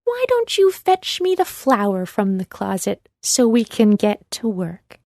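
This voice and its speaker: Woman acting out a slow, sweetly coaxing old witch. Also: medium pitch, huge pitch swings, a little mellow, dry recording, studio quality. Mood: slyly sweet. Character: sly old witch posing as a kind old woman. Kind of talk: children's storybook narration.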